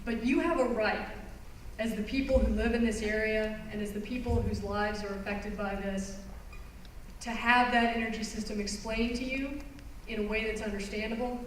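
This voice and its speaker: A woman lectures to an audience in an earnest, explanatory way, stressing key phrases. Her voice sits fairly high. The recording is far from her, in a very echoey hall with faint noise. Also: steady tone, normal speed. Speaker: environmental lawyer giving a talk. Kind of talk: public presentation. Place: conference hall.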